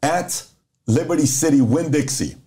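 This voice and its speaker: Middle-aged man from Iran, relaxed warm voice, casual podcast guest who plays out both sides of a story. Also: on the high side, moderate pace. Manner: animated storyteller who acts out dialogue.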